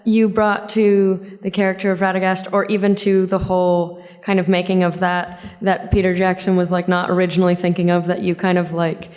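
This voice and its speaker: Female audience member, casual question from the floor, slightly low voice, hall reverb. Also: flat delivery, fairly quick, hardly any pauses, darkish tone. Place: convention hall. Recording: reverberant hall over microphone.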